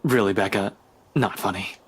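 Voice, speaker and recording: A man's voice: lowish voice, normal speed, breathy edge. An actor playing an irritable, dismissive male police investigator in a visual novel game, speaking curtly and bluntly. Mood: exasperated, dismissive. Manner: curt, exasperated, dismissive.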